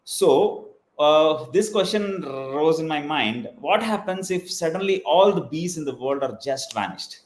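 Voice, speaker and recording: A man from South India with a South Indian accent, a native Telugu speaker, hosting a podcast interview and pausing often with "uh". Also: a little high, lively intonation, reverberant. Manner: hesitant, many "uh" pauses. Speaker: podcast host. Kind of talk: casual video-call interview.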